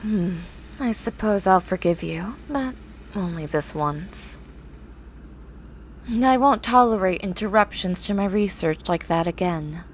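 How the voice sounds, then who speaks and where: A woman playing a grumpy, eccentric young horse-girl scientist in an ASMR roleplay, with formal, haughty, drawn-out wording. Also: mid-range voice, lively intonation, lightly acted. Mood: grudging. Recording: clear background noise, ambient sound.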